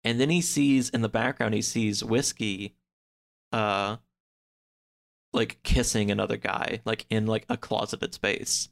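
A man's voice: lowish voice, fairly even intonation, a little slow, vocal fry. A man in a casual chat, retelling a story in a rambling way peppered with "like" and acting out the characters' lines. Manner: acts out characters' lines in quotes. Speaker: podcast guest.

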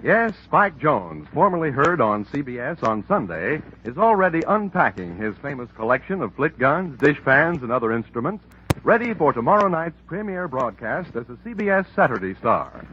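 A man's voice: mid-range voice, big pitch swings, moderate pace, a little hiss or hum. An American man reading scripted announcements as a 1940s radio network announcer. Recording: dull vintage radio recording over music.

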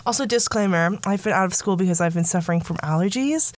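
A woman's voice: medium pitch, fast talker, no echo. A woman in her late teens co-hosting a student show with casual, giggly, slangy banter, her voice a little scratchy from allergies. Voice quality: slightly scratchy, softened from allergies.

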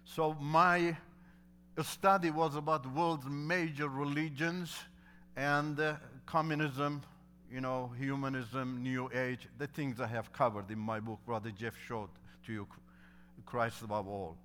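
A man's voice: mid-range voice, level tone, measured pace, a bit bright, slight background noise. A middle-aged man whose first language is Turkish, giving an earnest testimony in non-native English that builds into emphatic preaching. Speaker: guest speaker telling his testimony. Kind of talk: testimony sermon.

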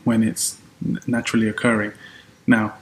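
A man born in Colombia, a podcast guest, explaining things in a casual interview and trailing off into softer asides. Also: fairly low pitch, monotone, moderate pace, poor audio.

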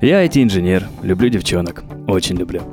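Brief: fast, low-voiced man in his thirties, teasing co-host, faint music behind. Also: animated. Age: 30s. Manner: teasing, joking. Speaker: podcast co-host. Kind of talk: casual comedic podcast chat.

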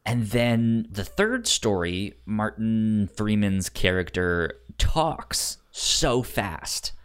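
A man casually discussing a movie as a podcast host, with halting speech and stuttered, repeated sounds and words. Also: on the low side, very animated, clean tone.

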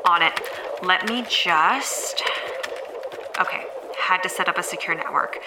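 A busy, businesslike woman played by an actress in an audio drama. Her voice is a little low and she talks in clipped phrases. Noticeable background noise surrounds her. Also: unhurried, lightly acted. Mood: brisk, focused. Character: brisk, busy woman, robot's technical colleague.

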